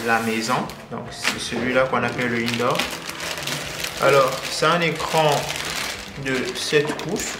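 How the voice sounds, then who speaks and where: A man casually presenting and unboxing a product as a video host, with halting phrasing and frequent filler sounds. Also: mid-range voice, roomy sound.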